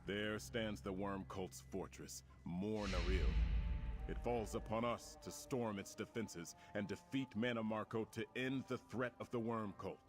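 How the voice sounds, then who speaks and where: A man as a low-voiced commanding warrior prince. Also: fairly even intonation, a little slow, lightly acted. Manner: declarative, formal, rousing. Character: confident, commanding warrior prince rallying comrades. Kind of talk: fantasy video game acting. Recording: prominent music behind the voice. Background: game music.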